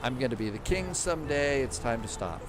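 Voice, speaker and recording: A man's voice: mid-range voice, animated, fairly quick. A man hosting a live flight-sim stream, reading viewer comments and rambling in a casual, thinking-aloud way. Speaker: livestream host. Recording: clear background music.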